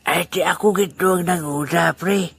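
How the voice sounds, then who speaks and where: A man's voice: high voice, fairly even intonation, normal speed, gravelly, a bit bright, husky, heavily acted. A man voicing a good-natured old grandfather, joking and laughing with his friend and muttering asides to himself in an amateur audio drama. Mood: jovial. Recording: noisy amateur recording.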